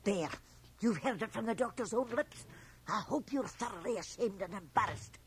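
A middle-aged woman playing a stern, domineering, scolding small-town mother with a husky voice in a radio drama. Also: medium pitch, expressive, moderate pace, a little hiss or hum, natural voice. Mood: stern, appalled. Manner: scolding, imperious. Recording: 1980 radio drama from home cassette transfer.

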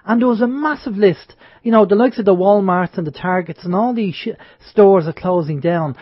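A man's voice: sits high, normal speed, breathy edge, no room sound. A man hosting an online radio roundtable, asking questions in a loose, conversational way. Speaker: radio host. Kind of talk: casual roundtable chat. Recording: dull online call audio.

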